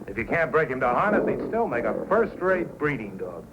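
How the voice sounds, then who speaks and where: An actor playing a gruff, boastful, mean-tempered dog racer in an old-time radio drama, combative and sneering. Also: male voice, mid-range voice, sing-song, normal speed, close to an everyday voice. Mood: gruff boasting. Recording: muffled, noisy old radio recording.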